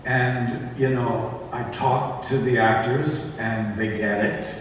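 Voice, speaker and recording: A man's voice: mid-range voice, steady tone, slow pace. A man talking casually as a panelist in a discussion about his production work. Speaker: panelist, audio drama director and actor. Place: convention panel room. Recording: echoey hall, distant mic, faint noise.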